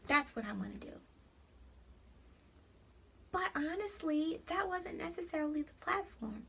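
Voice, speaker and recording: A woman's voice: high-pitched, expressive, measured pace, creaky. A young woman with a New York accent, hosting a casual motivational vlog and encouraging her viewers, calling them honey. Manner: warm, preachy and encouraging, calls viewers honey. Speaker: host of an encouragement site.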